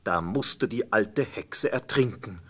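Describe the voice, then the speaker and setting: Husky, expressive male storyteller. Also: fairly low pitch, slow. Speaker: narrator. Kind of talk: scripted fairy-tale narration.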